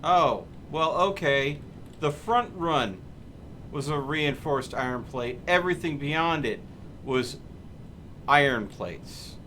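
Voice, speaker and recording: A man's voice: on the high side, expressive, slow pace, pushed and bright, a little hiss or hum. A man streaming a video game and bantering casually with a co-player in a wordy, argumentative, exasperated way.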